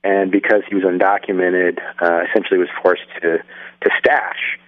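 Low-pitched man, young filmmaker, thoughtful answers, tinny phone-line sound. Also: flat delivery, moderate pace, no echo. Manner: thoughtful, many restarts and fillers. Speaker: filmmaker guest. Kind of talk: radio interview answers.

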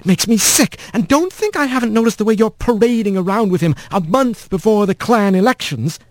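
Guy putting on a sour old lady mouse, high thin voice, cold and snippy. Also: expressive, a little fast, no echo. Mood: scornful. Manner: cold, cutting and contemptuous. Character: sour, bitter old female mouse clan head.

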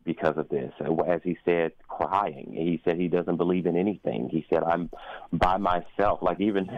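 An American man speaking as a guest in a conversational radio interview, reflective and often halting as he searches for his words. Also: sits low, fairly even intonation, muffled recording. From United States. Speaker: opera singer and interview guest.

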